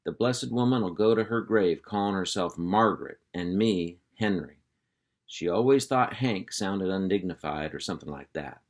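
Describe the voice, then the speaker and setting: A man's voice: sits low, lively intonation, normal speed. A man reading a children's chapter book aloud in an easygoing, folksy storytelling manner. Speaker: reader.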